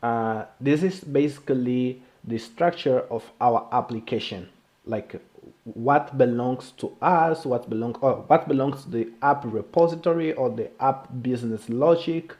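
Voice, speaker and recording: A man with a non-native English accent giving an informal technical tutorial in a halting, repetitive way, often checking in with a short question. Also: medium pitch, a little mellow. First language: not English. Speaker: programming video creator.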